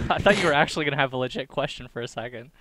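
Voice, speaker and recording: A man's voice: mid-range voice, expressive, rapid-fire, fairly bright tone. A young man co-hosting a podcast in casual chat, rambling with many fillers and laughing easily. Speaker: podcast co-host.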